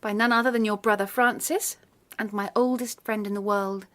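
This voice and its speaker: An actress playing a gentle but quietly firm young Victorian gentlewoman, speaking in a radio drama. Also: mid-range voice, steady tone, normal speed, close to an everyday voice. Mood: gentle, reluctant.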